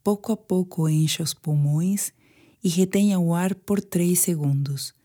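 A woman with a Brazilian Portuguese accent guiding a sleep meditation in a soothing, hushed manner with pauses. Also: lowish voice. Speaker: meditation guide. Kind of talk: guided sleep meditation narration.

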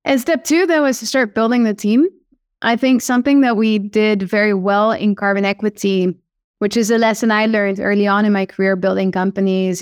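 A woman's voice: mid-range voice, no echo, clean studio sound. A woman with a Dutch accent, a startup founder answering podcast questions, upbeat and laying things out step by step. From Netherlands. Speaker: guest, startup CEO. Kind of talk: podcast interview.

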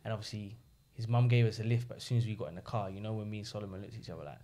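A young man chats on a podcast as a guest. He tells his stories in an animated way, slipping into the voices of the people he quotes. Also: fairly low pitch, racing. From England. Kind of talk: casual podcast chat.

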